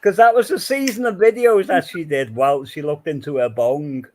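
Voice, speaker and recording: A man's voice: fairly high pitch, normal speed, a little mellow, a little hiss or hum. A man from Liverpool whose accent has faded after years in southwest England, chatting casually and rambling with frequent restarts and laughs. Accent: faded Liverpool accent softened by years in southwest England. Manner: rambling, self-interrupting, laughs often. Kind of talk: casual chat.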